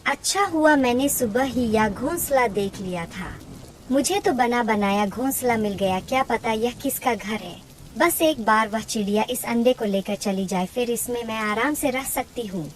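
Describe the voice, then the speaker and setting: A woman voicing a brash, scheming, sharp-tongued female bird in a cartoon story. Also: a little high, moderate pace, lightly acted. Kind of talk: Hindi cartoon story acting.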